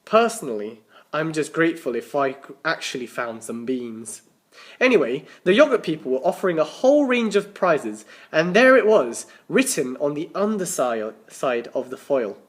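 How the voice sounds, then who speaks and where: A man reading a children's story aloud as a teacher, with animated, dramatic emphasis and the odd stumble over words. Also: a little high, moderate pace. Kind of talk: expressive children's storybook narration.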